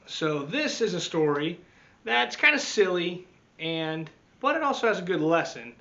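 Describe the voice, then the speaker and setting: A man reading a picture book aloud to children as a teacher, expressively, with dramatic swings and deliberate pauses. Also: high voice, sing-song, bright, forceful tone, dull audio. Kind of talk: animated children's storytime read-aloud.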